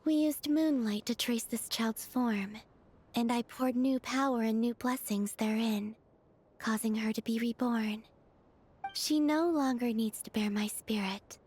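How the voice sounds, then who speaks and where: A woman playing a serene, gentle young moon goddess in a video game, speaking softly in a calm, formal, measured way. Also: on the high side, slightly rough. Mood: gentle, solemn. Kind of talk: video game character acting.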